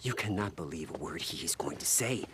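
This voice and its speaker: A man's voice: extremely low pitch, lively intonation, a little fast, noisy background. An actor playing a hostile, sneering man in a survivor camp in a video game, combative and contemptuous.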